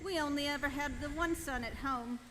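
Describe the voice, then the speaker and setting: An actor playing an earnest, homesick human space traveller in a live radio play. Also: male voice, very high voice, a little fast. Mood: wistful. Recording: noisy, reverberant hall.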